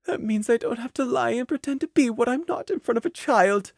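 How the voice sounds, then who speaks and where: A woman's voice: on the high side, brisk, gravelly, a little mellow, no room sound, studio quality, voice pushed up. A woman voicing the anguished, self-loathing thoughts of a unicorn mare in a storybook, tearful and despairing.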